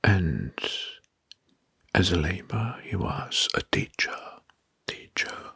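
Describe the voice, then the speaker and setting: A man narrating in a whispered ASMR style, slow and deliberate with a breathy voice, close to the mic. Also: low voice, very animated, no room sound. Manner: slow, deliberate, repeats words softly. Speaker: host of ASMR history video. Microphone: close mic, dry.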